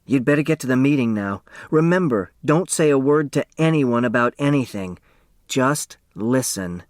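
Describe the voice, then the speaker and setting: A man's voice: mid-range voice, lively intonation, moderate pace, darkish tone, no echo, clean studio sound, close to an everyday voice. Man as a blunt, stubborn young girl. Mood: bossy. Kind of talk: audiobook character dialogue.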